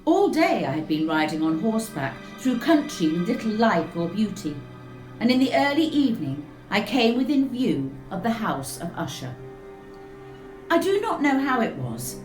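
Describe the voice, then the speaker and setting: A woman narrating a gothic story in a measured, expressive reading. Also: mid-range voice, roomy sound, low-quality recording. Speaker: reader of a story. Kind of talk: scripted narration of a gothic tale.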